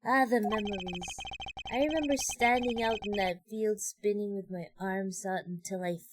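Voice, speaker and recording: A woman's voice: medium pitch, moderate pace, noisy background, close to an everyday voice. A woman voicing a dreamy, easily distracted, good-natured detective in a video game, rambling earnestly.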